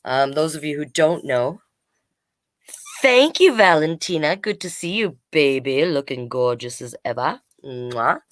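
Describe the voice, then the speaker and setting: A woman's voice: deep voice, animated, measured pace, dry recording. A woman hosting a children's storytime livestream, chatting casually and reading aloud in a playful, rambling way.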